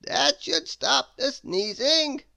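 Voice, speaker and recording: A reader voicing a wise old male wizard in a children's story, with grand, theatrical, drawn-out exclamations. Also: high-pitched, expressive, gravelly, sharp tone, no room sound, clean studio sound, voice pushed up, full character voice. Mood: commanding. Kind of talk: storybook read-aloud character voice.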